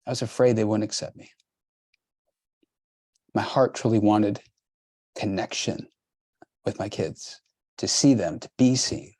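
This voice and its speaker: A man's voice: lowish voice, steady tone, a little slow, pauses often, a little mellow, no echo, clean studio sound. A middle-aged man hosting a workshop, coaching the group in an emphatic, urging way with lots of repetition.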